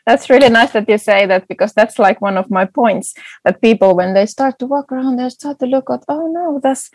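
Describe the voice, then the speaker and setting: A woman's voice: on the high side, fairly quick, dry recording. A woman whose first language is Swedish, answering an interviewer's questions enthusiastically in a casual chat, with frequent restarts. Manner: enthusiastic, many restarts and fillers. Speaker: foraging guide and entrepreneur.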